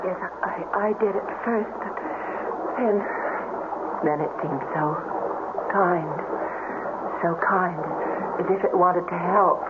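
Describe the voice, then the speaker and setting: A woman's voice: fairly low pitch, takes it slowly, pauses often, narrow, tinny audio. An actress playing a frightened, pleading woman trapped in a cave in a melodramatic radio drama, speaking in broken, breathless phrases. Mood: shaken, wondering. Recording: noisy, band-limited radio drama tape.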